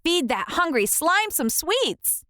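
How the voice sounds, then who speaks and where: Woman as an excitable, high-pitched storybook kid. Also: slow pace, dry recording, studio quality, exaggerated voice. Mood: urgent commanding. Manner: exclamatory, dramatic. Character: excitable child narrator with a runaway science project.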